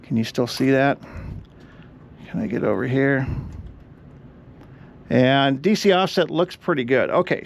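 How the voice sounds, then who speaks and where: A man giving a casual hands-on repair walkthrough, explaining each step aloud as he works. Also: mid-range voice. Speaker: host of an electronics repair channel.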